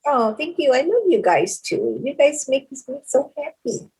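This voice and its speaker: A woman's voice: sits high, big pitch swings, moderate pace, darkish tone. An older woman, the guest and mother of the hosts, answering in a bashful, affectionate way. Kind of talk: casual family chat.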